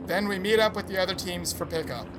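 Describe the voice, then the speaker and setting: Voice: mid-range voice, lively intonation, quick pace, background noise, close to an everyday voice. An actor playing a pompous, vain squad commander in an audio drama, speaking in a grandiose, commanding way. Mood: briskly commanding. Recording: music behind the voice.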